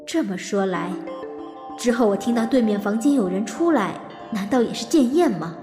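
A woman's voice: a little high, animated, normal speed, music in the background. An actress playing a secretive, calculating woman's introspective inner monologue in an audio drama, with a reverberant thought effect. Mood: anxious, relieved. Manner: introspective, narrating own thoughts. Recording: long echoing reverb on voice.

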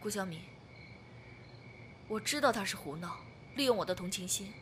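A woman playing a steady, protective young partner with a firm temper, speaking earnestly in a modern Chinese audio drama. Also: medium pitch, normal speed, slight background noise, natural voice. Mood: worried.

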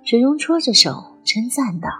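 A woman's voice: fairly high pitch, very animated, normal speed, fairly bright tone, slightly rough, soft background music, poor audio. A woman narrating a scripted story as a storyteller.

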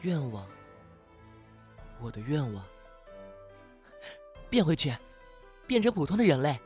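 A young performer playing a timid, excitable half-demon who is hesitant and easily flustered, in an audio drama. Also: medium pitch, a little slow, faint music behind.

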